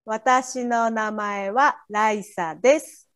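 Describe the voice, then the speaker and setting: A woman's voice: fairly high pitch, a little slow, smooth. A native Japanese woman with a Japanese accent, tutoring casually phrase by phrase in brief turns with small acknowledgements. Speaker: language tutor.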